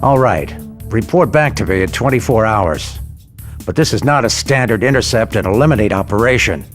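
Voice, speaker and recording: A man's voice: fairly low pitch, expressive, normal speed, faint music behind, close to an everyday voice. A man playing a stern, authoritative intelligence agency director in a video game cutscene.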